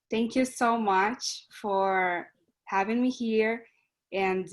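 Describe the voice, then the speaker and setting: A woman from Brazil with a Brazilian Portuguese accent, giving an earnest, slightly hesitant self-introduction over a video call. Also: mid-range voice. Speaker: club member introducing herself. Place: online meeting.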